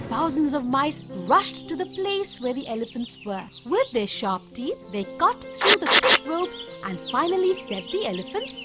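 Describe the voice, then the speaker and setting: Casting: woman narrator for kids' bedtime tales, lively intonation, expressive scripted read over music. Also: high-pitched. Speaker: children's story narrator.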